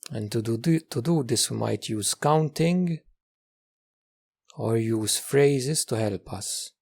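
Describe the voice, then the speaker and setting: A man teaches a guided meditation in a mellow, creaky voice. He is calm and measured, offering gentle instructions at a very unhurried pace. Phrases return in slight variations, separated by long pauses. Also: medium pitch.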